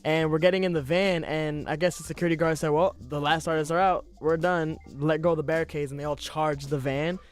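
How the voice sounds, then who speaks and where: A man's voice: on the high side, a little fast, few pauses, soft background music. An eighteen-year-old man from a boy band, telling stories casually in a radio studio. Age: teens. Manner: relaxed storyteller. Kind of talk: casual interview chat.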